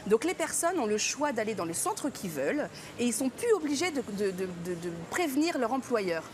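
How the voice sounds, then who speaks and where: A woman's voice: fairly high pitch, big pitch swings, normal speed. Talkative woman on a noisy recording. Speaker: studio guest presenting language training. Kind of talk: conversational explanation in a radio chat. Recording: clearly noisy background.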